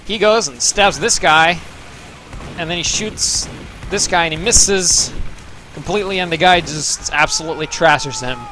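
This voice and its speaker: A man's voice: a little high, a little slow, bright, forceful tone, slight background noise. A young man from Norway giving loose, profane, sarcastic commentary over gameplay replays as the show's host. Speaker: game commentator.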